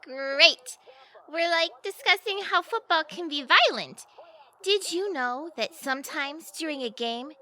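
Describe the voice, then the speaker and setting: A woman's voice: high-pitched, expressive, measured pace, bright, forceful tone, exaggerated voice. A woman playing a bubbly, naive, ditzy young woman in a comedic audio play. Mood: bubbly, clueless.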